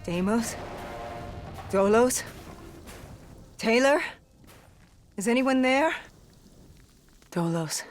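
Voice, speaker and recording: A woman's voice: on the high side, expressive, faint music behind. A woman voicing a bewildered, searching mortal heroine in a video game, with a questioning manner. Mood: searching, uneasy. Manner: slow, questioning. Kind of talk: video game cutscene acting.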